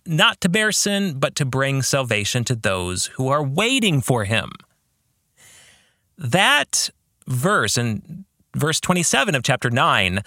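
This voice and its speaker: Slow, bright-voiced man with animated pitch. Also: no echo, studio quality. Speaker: podcast host and novelist.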